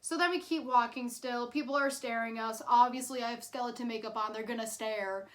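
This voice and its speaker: A woman's voice: fairly high pitch, fairly even intonation, fairly quick. A woman in her twenties telling a personal story casually, in a chatty, informal way with dramatic emphasis. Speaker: YouTube storyteller.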